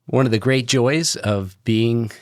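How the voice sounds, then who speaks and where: Measured, formal man in a dry recording. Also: medium pitch, smooth, no room sound. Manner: measured, formal, pausing between short phrases. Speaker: podcast guest, agency leader. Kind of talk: formal congratulatory remarks.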